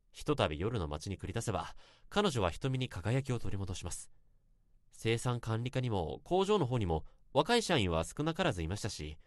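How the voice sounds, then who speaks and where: An actor playing an earnest young office worker who narrates a past infatuation in a radio drama, with polite, literary phrasing. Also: male voice, fairly low pitch, no echo, clean studio sound. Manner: polite, formal, literary storytelling.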